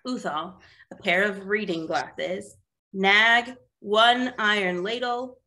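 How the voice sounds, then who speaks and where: A woman voicing a confident, practical paladin in her twenties for an animated fantasy table read. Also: medium pitch, slow, low-quality recording. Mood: unimpressed. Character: confident, practical twenty-four-year-old female water genasi paladin.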